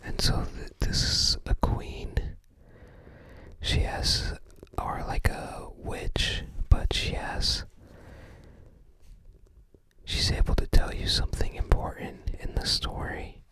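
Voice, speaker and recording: This is a fully whispered ASMR voice, hushed and breath-only. The host takes things very slowly, musing aloud in an unhurried, informal way. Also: low-quality recording. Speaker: ASMR host browsing a game guide book.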